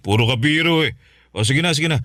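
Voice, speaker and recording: A man's voice: on the high side, normal speed, no echo, studio quality. A man playing an easygoing, joking husband. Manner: relaxed, joking. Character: easygoing, good-natured adult husband. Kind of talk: radio drama acting.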